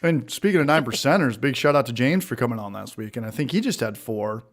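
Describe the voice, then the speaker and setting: A man's voice: medium pitch, expressive, fast talker. A man in his late thirties co-hosting a casual beer livestream, rambling and chatty as he greets the chat. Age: 30s. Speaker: livestream co-host.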